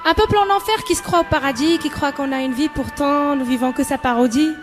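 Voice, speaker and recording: A woman's voice: high-pitched, brisk. A young woman performs spoken-word poetry in an impassioned, emphatic, rhythmic delivery. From Morocco. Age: young adult. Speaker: student poet. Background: background music.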